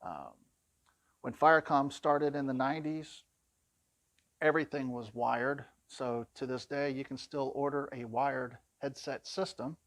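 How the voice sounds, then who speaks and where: A man with a somewhat low voice talks through a product demonstration. He explains in plain, conversational language, informally and step by step. The sound is dry, with very little room in it. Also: steady tone, unhurried, a bit bright, no echo.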